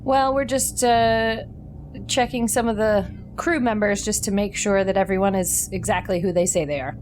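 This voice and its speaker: A woman's voice: medium pitch, steady tone, moderate pace, darkish tone, soft background music, lightly acted. A woman playing a polite starship doctor in a tabletop game, asking questions in a hesitant, apologetic way. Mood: awkward, apologetic.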